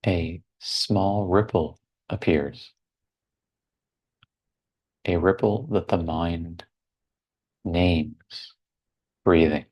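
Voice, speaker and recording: Low male voice, guided meditation, super slow w long pauses between phrases. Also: fairly even intonation, a little mellow.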